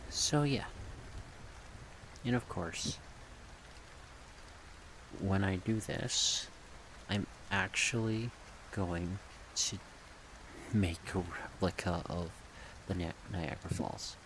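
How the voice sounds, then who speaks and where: Male, deep and unhurried, soft-spoken casual ASMR rambler, rain-like noise behind the voice. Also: poor audio. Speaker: ASMR creator rambling about a project. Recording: steady rain-like background noise.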